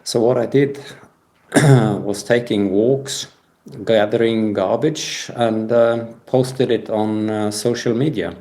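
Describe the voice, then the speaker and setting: A man giving a personal account as an interview guest, speaking with halting, hesitant phrasing. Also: lowish voice, steady tone, mellow. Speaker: podcast guest.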